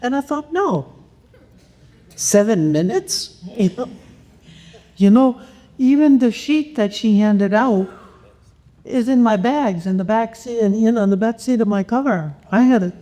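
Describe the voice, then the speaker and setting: A woman from Canada chattily reporting on a workshop at a conference, with a loud, enthusiastic voice. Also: a little high, expressive, unhurried, long pauses. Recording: long hall reverb.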